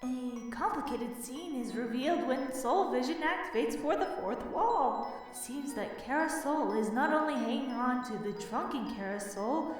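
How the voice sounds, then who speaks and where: An excitable youngster played by a woman in an amateur cartoon drama, very high-pitched, theatrical and earnest. The voice rings from a distance in a heavily echoing space with music clearly behind.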